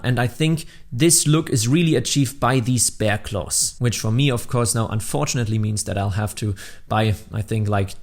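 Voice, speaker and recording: Man narrating a hobby build showcase. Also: medium pitch. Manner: explanatory, addresses viewers directly. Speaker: LEGO builder, video host.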